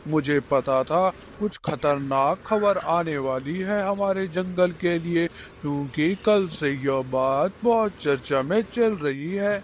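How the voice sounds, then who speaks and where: A man's voice: fairly high pitch, slow pace, a little hiss or hum. A man voicing a dismissive male crow in a children's cartoon, speaking emphatically. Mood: worried. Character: scornful male crow, dismissive naysayer of the forest.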